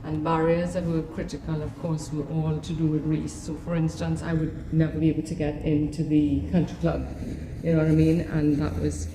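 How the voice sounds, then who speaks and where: A woman's voice: low voice, little pitch movement, normal speed, hardly any pauses. A woman in her fifties with a Trinidadian accent, giving an informal, conversational artist talk about her own memories. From Trinidad and Tobago. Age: 50s. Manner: conversational, often checking in with "you know". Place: gallery talk in a room. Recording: echoey room, noticeable background noise.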